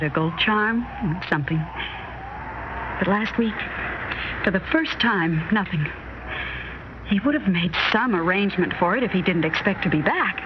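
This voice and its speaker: A woman plays a guarded, wistful American wife in an old radio drama, speaking earnestly with quiet worry. Her voice comes through a muffled recording with clear noise. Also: mid-range voice, lightly acted. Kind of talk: vintage radio drama acting.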